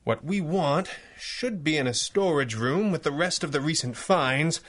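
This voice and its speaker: An actor playing a cool, confident masked superhero with a calm, dry, assured manner, in a 1930s-style radio drama. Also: male voice, on the high side, unhurried.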